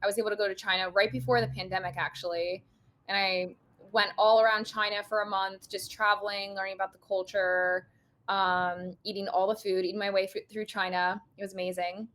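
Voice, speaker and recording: A woman's voice: medium pitch, flat delivery, a little fast, a bit bright. A woman guest in a casual podcast chat, talking in long rambling runs and laughing often.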